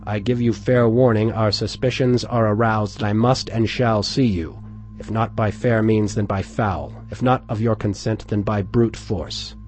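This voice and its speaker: A man voicing a grave, resolute lawyer in a dramatic story reading, declamatory and forceful. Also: sits low, steady tone, moderate pace, creaky, faint music behind. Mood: stern demand. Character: stern, commanding gentleman lawyer, grave and resolute.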